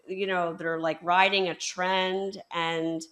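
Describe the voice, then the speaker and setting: Lively, bright-voiced woman host. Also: fairly low pitch, slow. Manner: enthusiastic, emphatic. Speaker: podcast host. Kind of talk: conversational interview.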